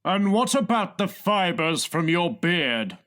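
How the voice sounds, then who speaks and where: A blustering, self-important gang boss for a comic audiobook. He speaks in a raised, high register and spaces his words out with exasperated, pompous emphasis. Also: big pitch swings, slow pace, a bit bright, full character voice. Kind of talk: comic audiobook character reading.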